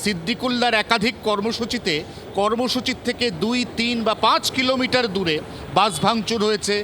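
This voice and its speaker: A man's voice: very high-pitched, brisk, pushed and bright. A man with a West Bengal Bengali accent giving a live field report, explaining methodically and with emphasis. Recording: faint outdoor street noise.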